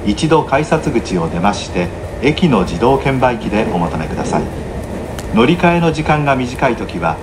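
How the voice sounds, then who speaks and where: A man giving formal, measured, scripted onboard announcements as a train announcer, polite and formulaic. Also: mid-range voice, big pitch swings. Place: inside a train, over the announcement system. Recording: noisy train cabin, air-conditioning hum.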